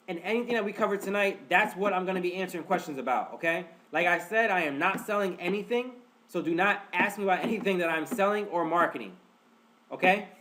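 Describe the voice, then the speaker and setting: A man's voice: high voice, a bit bright. Stuffed-up man hosting a webinar. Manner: chatty, repetitive, addresses audience as guys. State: stuffed-up nose. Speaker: webinar host. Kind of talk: casual live webinar teaching.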